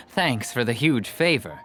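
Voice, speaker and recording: An actor playing a glib, good-natured merchant in a video game, with persuasive, theatrical sales patter. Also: male voice, mid-range voice, a bit bright, a little hiss or hum.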